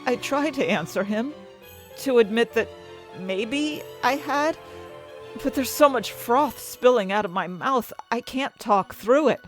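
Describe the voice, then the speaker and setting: A woman's voice: a little high, expressive, a little slow, faint music behind, rough recording. A woman acting an anxious, desperate young heroine who tells her own fantasy story in intense, dramatic first-person narration. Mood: panicked. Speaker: narrator and main character of an audio fiction story.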